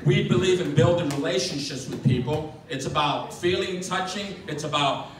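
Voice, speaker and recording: A man's voice: on the high side, normal speed. An older man, a retiree with many grandchildren, speaking briefly to a meeting about building relationships. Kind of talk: short speech to a meeting. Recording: echoey room, mic far off, noisy.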